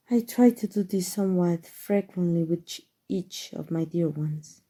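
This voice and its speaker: A very mellow, dark-toned vampire lady, played by a woman with a Mexican accent. She is centuries old, elegant and gently possessive. She speaks slowly and tenderly in a breathy ASMR roleplay style. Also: fairly low pitch, full character voice. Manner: soft, tender, formal and unhurried endearments.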